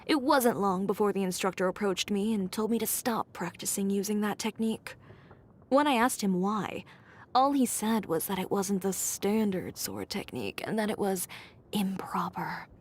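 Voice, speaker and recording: A woman's voice: mid-range voice, lively intonation, normal speed, natural voice. A woman voicing a blunt, haughty young fashion designer in a video game, speaking in a curt, dry and self-assured way. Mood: reflective. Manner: curt, dry, matter-of-fact, coolly condescending. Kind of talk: scripted game character voice lines.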